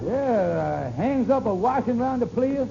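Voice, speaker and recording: High-pitched scheming middle-aged husband, folksy, hesitant, exasperated, noisy old radio comedy recording. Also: male voice, sing-song, moderate pace, vocal fry, dark tone, lo-fi sound, heavily acted. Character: scheming, flustered middle-aged husband and lodge big shot. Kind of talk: 1940s radio comedy acting.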